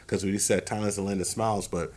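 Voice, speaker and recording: A man's voice: lowish voice, little pitch movement, fast, darkish tone. A man co-hosting a casual podcast reaction chat, talking emphatically and repeating phrases as he builds a point. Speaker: podcast co-host.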